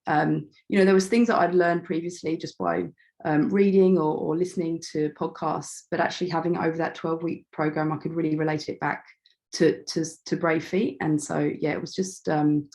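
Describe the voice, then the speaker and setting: A middle-aged woman with a slightly croaky voice, giving an informal, self-deprecating talk about herself as a guest speaker, often feeling for her words. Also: sits low, steady tone, moderate pace, a little mellow. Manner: chatty, self-deprecating, hesitant phrasing. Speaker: start-up founder and guest speaker. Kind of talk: informal online talk about own background.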